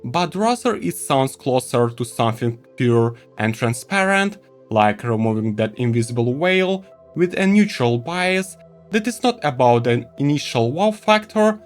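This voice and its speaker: Guy doing a tech review, really animated pitch, soft music behind him. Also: moderate pace. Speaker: product reviewer. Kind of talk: semi-scripted video review narration.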